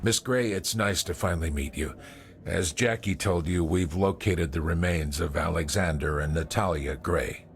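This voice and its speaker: An actor playing a solemn, courteous small-town sheriff in a video game cutscene. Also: male voice, low-pitched, moderate pace.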